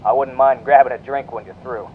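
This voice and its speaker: A man's voice: mid-range voice, fairly even intonation, fairly quick. An actor playing a chummy, nosy male office coworker, talking casually through a telephone filter. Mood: teasing, casual. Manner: casual, buddy-like. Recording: tinny phone line with faint noise.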